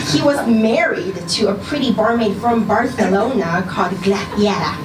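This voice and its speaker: A woman reading a short story aloud at a live event, with measured, expressive storytelling. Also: fairly high pitch. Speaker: story reader. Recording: roomy, distant, noisy live event recording.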